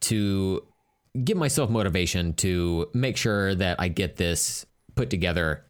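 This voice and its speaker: A man's voice: low voice, expressive, unhurried. A man co-hosting a podcast in casual chat, rambling and self-deprecating with jokes. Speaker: podcast co-host.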